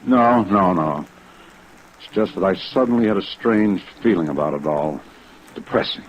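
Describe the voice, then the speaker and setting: A man's voice: low voice, normal speed, close to an everyday voice. An American man acting an earnest, courteous but uneasy businessman in a 1950s radio drama, formal and emphatic. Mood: defensive. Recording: muffled vintage radio recording, faint noise.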